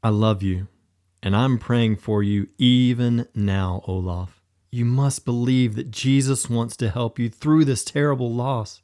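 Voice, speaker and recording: A man voicing a devout boy quietly consoling his grieving friend. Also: medium pitch, fairly even intonation, measured pace, natural voice. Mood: tender. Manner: soft, tender and consoling. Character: gentle, devout boy, caring best friend.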